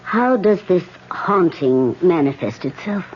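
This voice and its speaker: A slow, hushed spirit medium. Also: female voice, fairly low pitch, a little hiss or hum. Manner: calm, hushed, often whispering. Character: woman spirit medium holding a seance. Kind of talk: 1970s radio drama acting. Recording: dull old radio broadcast.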